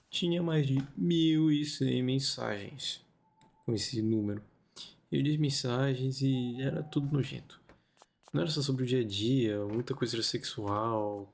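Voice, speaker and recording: Gravelly Brazilian man, casual storyteller. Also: medium pitch, darkish tone. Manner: informal, conversational asides. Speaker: host of a story-reading video channel. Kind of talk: casual chatty commentary and storytelling.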